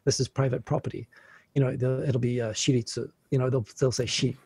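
A man appearing as a podcast guest over a video call, calmly explaining things in a casual, knowledgeable way. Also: medium pitch, slightly rough, dry recording. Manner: explanatory, knowledgeable, quick asides.

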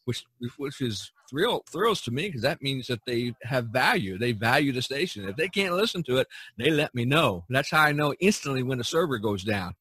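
A man's voice: mid-range voice, big pitch swings, normal speed, hardly any pauses, pushed and bright, breathy edge, no echo. Man chatting casually, close dry sound. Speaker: podcast host. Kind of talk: casual chat.

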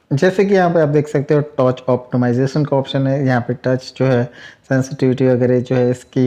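A man hosting a tech review, explaining phone software in a chatty, repetitive way with English tech terms mixed in. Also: mid-range voice, highs cut off. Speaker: tech channel host.

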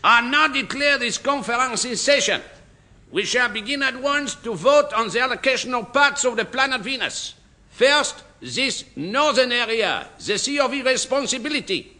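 A pompous chairman in a radio comedy. The voice is high and very bright, brisk and officious. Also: lively intonation, full character voice. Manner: formal, pompous. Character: brisk, officious male French conference chairman. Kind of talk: radio sitcom acting.

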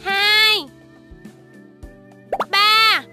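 A woman's voice: extremely high pitch, level tone, extremely slow, over music, full character voice. A woman voicing a cheerful, caring little baby shark doctor in a children's cartoon, encouraging and sing-song.

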